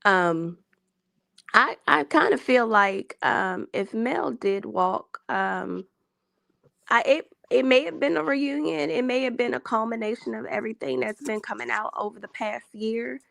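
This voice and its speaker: Woman, getting over a cold, gravelly, very dry close sound, hesitant and measured. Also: a little high, fairly bright tone, no echo. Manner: hesitant, lots of ums, slow and measured. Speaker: livestream guest. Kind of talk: casual opinion chat.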